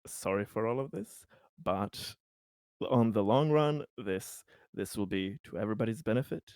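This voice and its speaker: A man improvising a glib, self-assured political schemer in a roleplaying game, halting and repeating himself as he placates people. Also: on the low side, a little mellow, no room sound, clean studio sound, lightly acted. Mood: placating.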